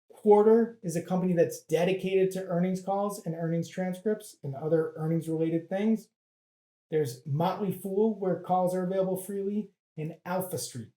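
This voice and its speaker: A presenter giving an educational explanation in a measured, plain-spoken way, like a guide for learners. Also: mid-range voice, warm tone, reverberant.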